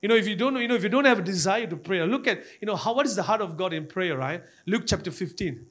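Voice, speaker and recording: Male preacher, Malaysian accent, high-pitched voice, impassioned and exhorting sermon delivery with frequent repetition. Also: lively intonation, fast talker, a bit bright. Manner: repetitive, exhorting, often addressing the congregation.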